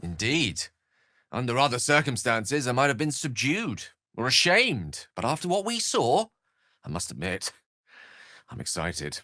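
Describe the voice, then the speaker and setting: An actor playing an eloquent, theatrical wizard in a fantasy video game, learned, courtly and wry, with articulate, bookish phrasing. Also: male voice, mid-range voice, very animated, measured pace, bright, forceful tone, no room sound, studio quality. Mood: intrigued.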